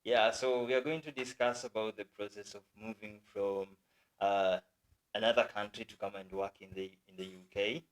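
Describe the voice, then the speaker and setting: A Kenyan man hosting a casual chat with a guest, speaking in a relaxed, halting way with restarts. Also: fairly low pitch, low-quality recording. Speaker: host.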